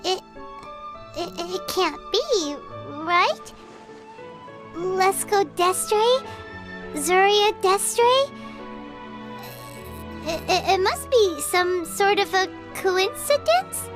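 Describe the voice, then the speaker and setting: A woman voicing a small, excitable, childlike female fairy companion in a video game, chirpy and exclaiming. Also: extremely high pitch, extremely slow, pauses often, over music, exaggerated voice. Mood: shaken, hesitant. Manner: chirpy, exclaiming, stammers when startled.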